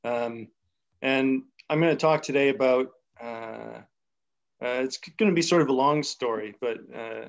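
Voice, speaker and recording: Young male lecturer on a video call, mid-pitched, unhurried and thinking aloud, slightly muffled audio. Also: vocal fry. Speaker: seminar speaker. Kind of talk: academic math talk over video call.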